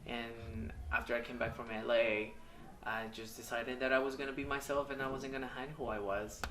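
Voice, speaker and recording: A man in his thirties from Mexico, telling his life story in a candid, hesitant way with many pauses and ums. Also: medium pitch, level tone, pushed and bright, a little hiss or hum. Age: 30s. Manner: hesitant, halting, with frequent ums and uhs. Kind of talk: candid personal testimonial. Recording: echoey room, mic at a distance.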